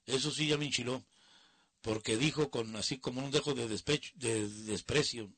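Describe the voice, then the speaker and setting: A man's voice: medium pitch, fairly bright tone, no room sound. A man reading news items aloud and breaking in with mocking, opinionated asides. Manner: mocking, opinionated asides between read passages. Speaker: news commentator. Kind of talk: reading news with casual commentary.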